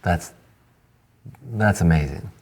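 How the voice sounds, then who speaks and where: Male podcast host, fifties, lowish voice, slow halting delivery, blunt and informal. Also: a little mellow. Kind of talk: casual interview chat.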